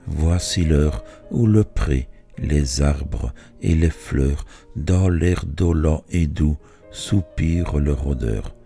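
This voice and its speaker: A man reciting literary poetry in a measured way, phrase by phrase with pauses between them. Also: a bass voice, gravelly, warm tone, faint music behind. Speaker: poetry reader.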